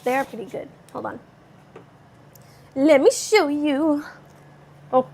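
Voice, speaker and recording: Bubbly high-voiced young Californian woman. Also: slow pace, a little mellow, a little hiss or hum. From Southern California. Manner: bubbly and chatty, reading out viewer comments. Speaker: vlogger cooking live and answering chat. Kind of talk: casual live-stream chat. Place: home kitchen.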